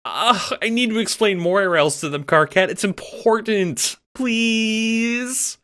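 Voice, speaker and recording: A man playing an excitable, affectionate young cat-like alien troll girl, rambling playfully as narrator of a fan audio drama. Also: high-pitched, takes it slowly, heavily acted. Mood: excited pleading. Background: music.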